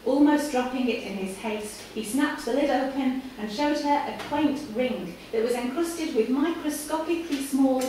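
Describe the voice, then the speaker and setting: A woman with a fairly high voice gives a measured reading of fiction. She is live in a café, recorded from a distance, so the room echoes heavily around her with some faint noise. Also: a little mellow. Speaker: reader at a live literary night. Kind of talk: dramatic reading of written fiction. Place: live in a café before an audience. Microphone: far camera.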